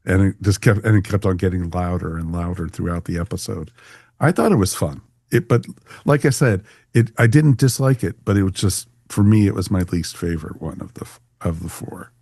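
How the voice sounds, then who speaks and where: Male podcast host, low and warm-toned, casual panel discussion delivery. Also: fairly quick, creaky, husky, no echo, clean studio sound. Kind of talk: casual panel review chat.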